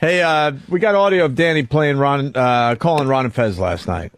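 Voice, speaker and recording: A man's voice: medium pitch, moderate pace, dry recording. A man hosting a radio show, steering casual banter with short questions and remarks.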